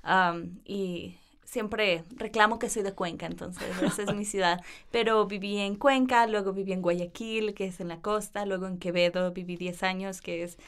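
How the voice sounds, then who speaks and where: A woman's voice: mid-range voice, fairly even intonation, moderate pace, few pauses, smooth. A young woman, chatting cheerfully as a guest on a student podcast interview. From Ecuador. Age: 20s. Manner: cheerful, laughs often, fillers like "um". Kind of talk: casual interview chat.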